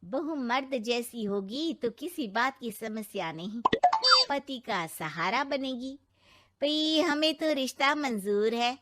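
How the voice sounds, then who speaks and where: Outspoken scolding mother-in-law, middle-aged , woman performer, family drama; unhurried, very expressive. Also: a little high. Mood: approving. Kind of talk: Hindi family story dramatization.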